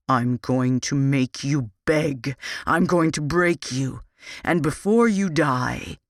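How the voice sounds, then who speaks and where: Narrator voicing a slow, sadistic demon captor. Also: mid-range voice, vocal fry, no echo, clean studio sound, full character voice. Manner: slow, drawn-out menacing delivery. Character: sadistic male demon torturer, cruel and gloating. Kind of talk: audiobook character voice.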